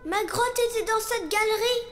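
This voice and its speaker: A woman voicing an excited, chatty small boy in a space cartoon. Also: extremely high pitch, moderate pace, echoey room. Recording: cartoon dialogue with music behind.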